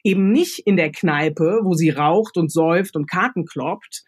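A woman's voice: on the low side, unhurried, husky. A woman with a German accent thinks aloud as a radio play author, giving casual creative advice with examples.

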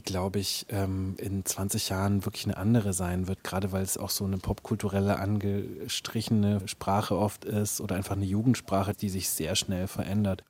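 A man's voice: fairly low pitch, darkish tone. A man being interviewed as a musician and author, talking reflectively and often searching for the right words. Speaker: interview guest, musician and radio play author.

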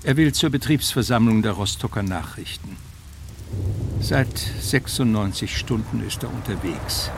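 A man narrating a scripted literary, documentary-like text in a sober, matter-of-fact way with deliberate phrasing. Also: fairly low pitch, expressive, gravelly, very noisy.

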